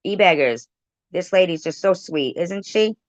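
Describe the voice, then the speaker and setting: A woman's voice: on the low side, animated, a little slow, no room sound, dull audio. A woman doing casual reaction commentary in an accusatory, sarcastic way. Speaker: commentary video host. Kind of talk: casual reaction commentary on a live stream clip.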